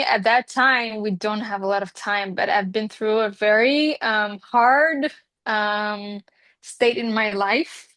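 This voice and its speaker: A woman's voice: medium pitch, a bit bright, no room sound. A woman in her twenties whose first language is Hebrew, a Reiki healer speaking in an interview in an earnest, rambling way with many fillers. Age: 20s. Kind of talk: casual interview talk.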